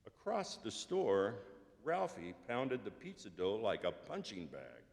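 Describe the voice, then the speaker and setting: A man reading a story aloud to a church congregation in a measured storytelling manner. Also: fairly low pitch, huge pitch swings, creaky, darkish tone. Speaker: scripture and story reader. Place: church sanctuary. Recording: long church reverb.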